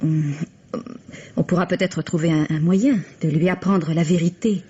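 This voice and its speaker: An actress playing a helpful female schoolteacher, gentle and reassuring, in a vintage radio mystery. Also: lowish voice, steady tone, normal speed, slightly rough. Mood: kindly, reassuring. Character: kindly, helpful female schoolteacher, fond of children. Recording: dull, lo-fi old radio recording.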